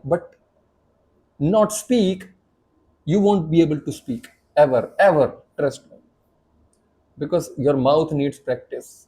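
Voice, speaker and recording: A man's voice: high-pitched, mellow. A man in his thirties with an Indian accent, a native Hindi speaker, giving an emphatic spoken English lesson to camera, repeating and restarting phrases as he pushes his point.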